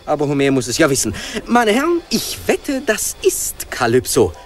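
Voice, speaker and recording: An actor playing a brash, excitable special reporter in a radio play, with clipped telegram-style commentary and muttered asides. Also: male voice, a little high, moderate pace. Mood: excited, awed.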